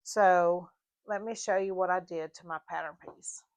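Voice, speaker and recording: A slightly hoarse woman with a Southern US mountain accent, explaining her sewing project in a casual, halting tutorial with fillers. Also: lowish voice, steady tone. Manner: halting, with frequent fillers and restarts. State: a little hoarse. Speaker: sewing vlogger.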